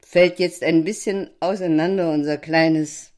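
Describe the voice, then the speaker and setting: A woman's voice: deep voice, fairly even intonation, a little slow, darkish tone. An actress playing a tipsy, opinionated, brittle married woman in a theatrical audio drama, with a languid, sardonic way of pronouncing her views. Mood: tipsy musing.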